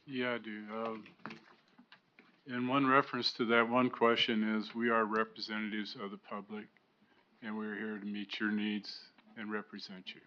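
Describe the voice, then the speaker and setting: A man's voice: fairly low pitch, flat delivery, moderate pace, sharp tone, a little hiss or hum. A man, a county commissioner, making a brief matter-of-fact remark at a meeting. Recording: dull lo-fi meeting recording.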